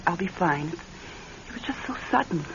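An actress playing a skeptical, high-strung married woman in an old radio drama, halting and emphatic when upset. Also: animated. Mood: evasive, uneasy. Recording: muffled, noisy vintage radio recording.

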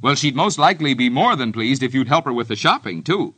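Male American commercial announcer, bright forceful tone, lively intonation, peppy 1950s radio sales pitch to kids. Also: fairly quick, breathy edge, no echo. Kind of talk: scripted 1950s radio advertisement for children.

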